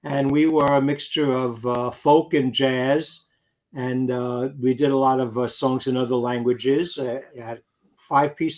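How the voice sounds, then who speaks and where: A man's voice: medium pitch, darkish tone. An older man from New York City, a guest musician telling his story in a rambling, anecdotal way with many fillers. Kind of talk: casual interview chat.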